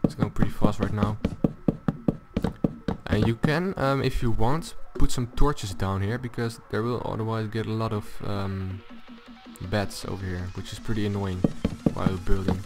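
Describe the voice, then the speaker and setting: A man with a low voice giving a casual, unscripted gaming tutorial, thinking aloud as he goes. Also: fairly even intonation, moderate pace. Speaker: video tutorial host. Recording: music behind the voice. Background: loud music.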